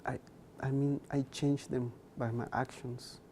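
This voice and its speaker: A man's voice: medium pitch, flat delivery, measured pace, dark tone, slight background noise. A young man, a Mayan speaker talking earnestly as an interview guest, repeating words for emphasis. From Guatemala. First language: K'iche' Mayan. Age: young adult.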